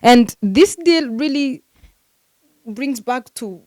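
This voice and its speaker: A woman's voice: high-pitched, animated, takes it slowly, a little mellow, no echo, clean studio sound. A woman hosting a football transfer news vlog, talking casually and animatedly to her viewers with lots of fillers, venting like a frustrated fan.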